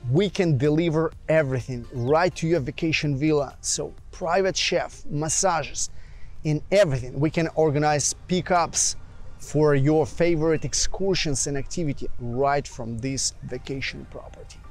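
A man with a slightly high voice gives an eager sales pitch, talking straight to the viewer as a travel channel host. Soft music plays faintly beneath him. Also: measured pace. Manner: salesy, upbeat, addresses viewers directly. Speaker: villa rental promoter and channel host. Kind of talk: promotional video narration.

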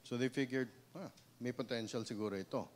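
A man's voice: mid-range voice, fast. A man in his thirties with a Filipino accent giving a seminar talk on a handheld microphone in a small room, speaking conversationally and often adding a questioning "no?". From Philippines. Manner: conversational, frequent "no?" tag questions and restarts. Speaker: seminar speaker, pig breeder. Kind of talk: seminar talk mixing English and Tagalog.

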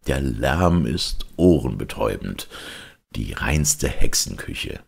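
A very deep-voiced middle-aged man narrates a children's story. His voice is gravelly and husky, and his delivery swings widely in pitch at an unhurried pace. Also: very animated. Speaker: audiobook narrator. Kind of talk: expressive scripted storytelling for children.

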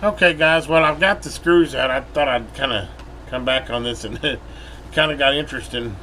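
A man's voice: mid-range voice, normal speed. A middle-aged American man giving casual, rambling repair commentary, thinking aloud in a folksy way. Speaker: repair channel host. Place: indoor workbench. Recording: steady room hum, air-conditioner-like noise.

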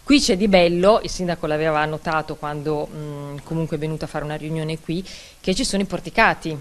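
Low-voiced shopkeeper woman, thoughtful. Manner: thoughtful, pauses with hums. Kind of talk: interview answer. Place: small newsagent shop. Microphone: handheld interview mic.

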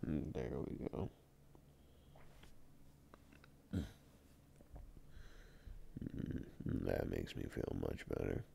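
A man playing a sleepy, affectionate boyfriend in an intimate ASMR roleplay, murmuring tenderly and haltingly in a gravelly, husky morning voice. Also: a bass voice, lively intonation, mellow, a little hiss or hum, heavily acted. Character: sleepy, affectionate boyfriend coaxing partner back to bed.

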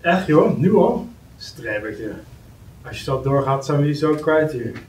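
A man's voice: on the high side, lively intonation, a little slow, a little mellow. A man playing a jovial, teasing office colleague of fifty with chummy, mocking, colloquial banter at a script table read. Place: meeting room, indoors. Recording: roomy, distant mic, faint noise.

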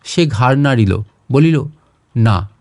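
A man's voice: fairly high pitch, animated, moderate pace, dry recording, studio quality. A man narrating a literary short story as a scripted audiobook reading.

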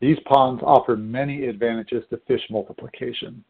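A man's voice: medium pitch, steady tone, normal speed, gravelly, slightly rough. Phone-like recording of a man narrating. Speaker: fisheries scientist reading aloud. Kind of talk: literary narration read aloud. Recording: tinny phone-like audio.

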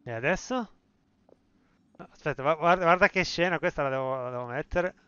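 A man's voice: a little high, fast talker, clean tone, dry recording. A young Italian man giving casual, exclamatory live commentary as a game streamer. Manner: animated, exclamatory asides. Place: indoor room, streaming. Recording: dull audio with game music behind.

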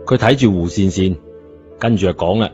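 A man's voice: low-pitched, fairly quick, a little mellow. A man narrating a story in a colloquial scripted style, in a dull recording. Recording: dull lo-fi audio, music behind.

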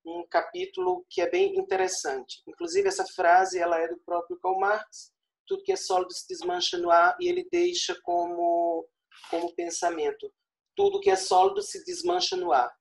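A man's voice: sits high, very animated, gravelly, poor audio. A man in his forties with a northeastern Brazilian Paraíba accent, giving an online lecture over a video call headset, stretching out key words and checking in with "certo?". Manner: expansive, tags points with "certo?", stretches key words slowly. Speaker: guest lecturer. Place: small room at home. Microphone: earphone headset on video call.

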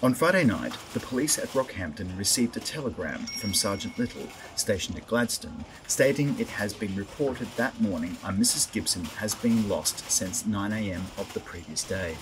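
Lowish male newspaper reader over background noise. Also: animated, moderate pace, hardly any pauses. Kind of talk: formal read-out of old news report. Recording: clearly noisy background ambience.

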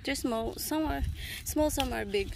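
A woman's voice: sits high, a little slow, gravelly, noisy background. A woman talking casually to her small children while picking strawberries in an open field, coaxing them with short repeated instructions. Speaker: family vlogger.

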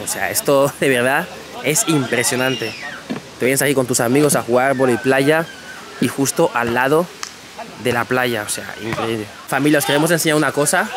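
Chatty young man vlogging on the go. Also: medium pitch. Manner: chatty, upbeat, addresses viewers as family. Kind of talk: casual travel vlog narration to camera. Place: outdoors at a beach resort and a busy market. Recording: music bed underneath.

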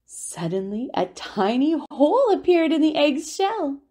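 A woman reading a children's picture book aloud as a storyteller, with expressive, deliberate delivery and drawn-out words. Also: sits high. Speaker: children's storyteller at a family centre.

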